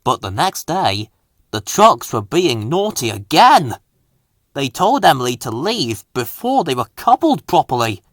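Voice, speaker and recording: A man reading a children's story aloud with dramatic, deliberate expression. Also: medium pitch, fairly bright tone, no echo, studio quality. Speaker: storybook reader.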